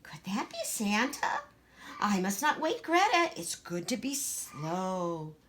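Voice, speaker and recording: A woman voicing a quiet, timid, thoughtful little girl in a children's storybook, speaking in an earnest, hesitant way. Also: medium pitch, wildly swooping pitch, takes it slowly, pitched above the performer's own voice, full character voice. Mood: hushed, careful.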